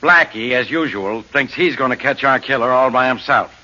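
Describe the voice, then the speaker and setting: A man's voice: medium pitch, normal speed, sharp tone. An actor playing a brusque, irritable police inspector in a 1940s radio drama, snapping his words out. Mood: exasperated. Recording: muffled old radio broadcast, faint hiss.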